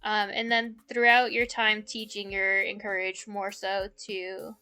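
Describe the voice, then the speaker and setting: Slow, bright-voiced young woman streamer. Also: medium pitch, soft background music. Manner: relaxed, giggly, slow halting Spanish practice. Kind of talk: casual chat with viewers.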